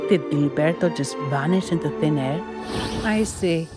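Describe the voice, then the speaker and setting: An actress playing a composed, slightly weary guide in a video game, explaining things in an understated, matter-of-fact way. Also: female voice, low voice, moderate pace, close to an everyday voice. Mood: thoughtful. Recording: music behind the voice. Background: clear background music.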